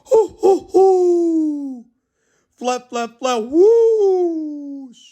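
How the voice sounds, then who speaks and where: A man's voice: very high voice, expressive, extremely slow, mellow, voice pushed up, exaggerated voice. A man voicing storybook animals such as barking dogs and a hooting owl, with exaggerated spoken calls. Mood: spooky.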